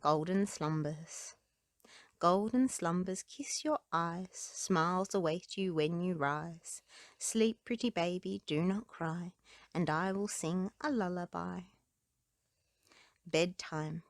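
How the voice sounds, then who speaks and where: Slow-paced woman reading bedtime stories. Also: fairly low pitch, dry recording, clean studio sound. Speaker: children's book reader. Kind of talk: read-aloud storybook and nursery rhyme narration.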